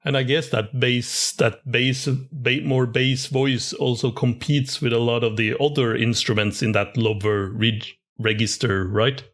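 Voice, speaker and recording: A man's voice: mid-range voice, level tone, a little slow, few pauses, a bit bright. A man hosting an interview podcast, asking long, rambling questions with enthusiasm and chatty asides. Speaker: podcast host. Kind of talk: casual interview chat.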